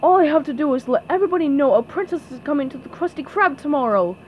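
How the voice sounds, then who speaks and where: A woman's voice: high voice, lively intonation, fast, a little mellow, slight background noise, pitched above the performer's own voice. A woman voicing a greedy, scheming male crab who runs a restaurant in a cartoon storybook.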